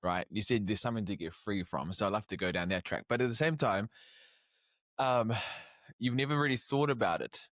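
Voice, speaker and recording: Young man, podcast host, lowish voice, halting restarts, dry close sound. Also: a bit bright, no echo. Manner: halting, many restarts and fillers. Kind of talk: casual interview.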